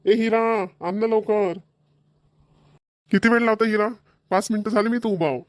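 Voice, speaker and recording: A man's voice: high-pitched, steady tone, moderate pace, no room sound, studio quality, close to an everyday voice. A man voicing a middle-aged village father in a cartoon, grumbling and repeating himself in rural dialect. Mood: impatient. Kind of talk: cartoon voice acting.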